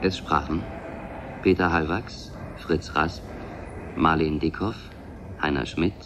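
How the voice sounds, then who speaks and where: A man's voice: rumbling low, slow pace. A man reading scripted credits as a radio announcer, over noisy background ambience.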